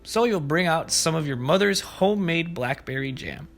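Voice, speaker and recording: A man's voice: fairly high pitch, lively intonation, moderate pace, a bit bright. A man hosting preschool story time, reading aloud and explaining things simply and expressively to young children. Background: background music.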